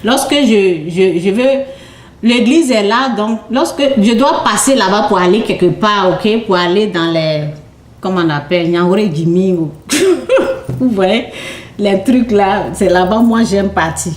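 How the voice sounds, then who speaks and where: Slow, hesitant woman sharing faith testimony. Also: medium pitch, expressive, reverberant. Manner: hesitant, repeats words, asks "ok?" often. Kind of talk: informal sermon testimony.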